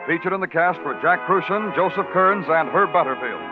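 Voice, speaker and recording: A man's voice: a little high, lively intonation, a little fast. A man reading scripted show announcements in 1950s radio style, with music behind. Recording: dull lo-fi 1950s radio recording.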